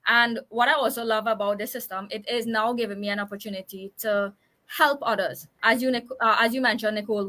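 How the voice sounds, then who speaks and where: Female guest, thirties, Trinidadian. Slightly high, very bright voice; chatty, explanatory, casual live-chat delivery. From Trinidad and Tobago. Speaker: interview guest, business owner.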